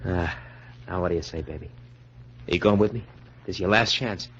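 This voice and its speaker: An American actor playing a cocky, smooth-talking small-time hustler in an old-time radio crime drama, with slangy, brash delivery. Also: male voice, sits low, brisk, a bit bright. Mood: coaxing. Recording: muffled, hissy old radio recording.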